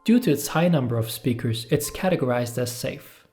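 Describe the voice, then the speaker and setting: A man with a Turkish accent, a native Turkish speaker, reading a scripted explainer narration in a measured, steady way. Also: medium pitch. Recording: music bed under the voice.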